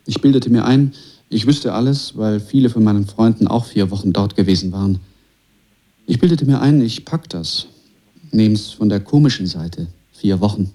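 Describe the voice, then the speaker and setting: A low male voice with a very mellow, dark colour , an actor as a young man who refused the draft. He tells his story in short colloquial phrases, wry and self-mocking, as a radio drama monologue. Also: normal speed, natural voice. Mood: wry, haunted. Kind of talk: radio drama monologue, first-person testimony.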